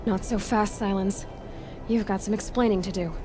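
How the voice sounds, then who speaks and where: Woman acting young adventurer, defiant, earnest, medium pitch, sardonic edge, game drama, clear music underneath. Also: steady tone, moderate pace, close to an everyday voice. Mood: firm. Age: young adult. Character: determined, questioning young female adventurer, defiant.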